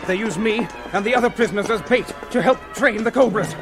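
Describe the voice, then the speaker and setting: A frightened expedition professor in an old radio adventure. His voice is very high and agitated, tumbling into urgent explanations, with music clearly behind him. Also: a little fast. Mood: anxious, explaining. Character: frightened male professor, rescued captive expedition leader. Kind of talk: radio adventure serial acting. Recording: old radio drama recording.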